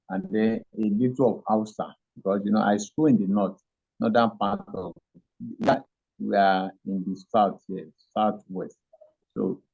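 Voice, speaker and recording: Slow gravelly Nigerian man, halting. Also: on the low side, a little mellow. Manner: halting, many fillers and restarts. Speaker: interview guest. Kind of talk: hesitant conversational answers. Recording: dull lo-fi audio.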